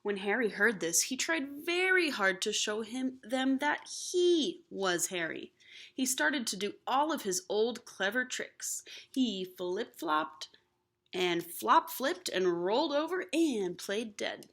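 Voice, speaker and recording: Woman doing an expressive storybook read aloud for kids, voice goes up and down a lot, little hushed asides. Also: fairly high pitch, slow. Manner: animated, with hushed asides to the listeners. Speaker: storybook reader. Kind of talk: expressive read-aloud of a children's picture book.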